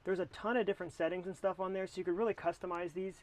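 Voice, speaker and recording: A man's voice: high-pitched, fairly even intonation, rapid-fire, slight background noise. An American man talking casually to camera as he reviews a product.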